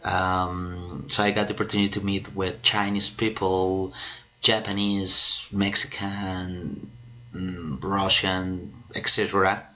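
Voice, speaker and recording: Slow, bright-voiced Colombian man in his thirties. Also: low-pitched, level tone. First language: Spanish. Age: 30s. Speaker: English teacher. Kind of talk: self-introduction presentation to camera.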